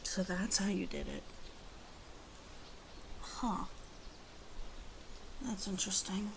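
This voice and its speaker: A woman voicing a guarded, grieving female werewolf in an ASMR roleplay, halting and brooding, often dropping to breathy half-whispers. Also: medium pitch, lively intonation, noisy background. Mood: subdued, softening. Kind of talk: ASMR roleplay monologue addressed to listener.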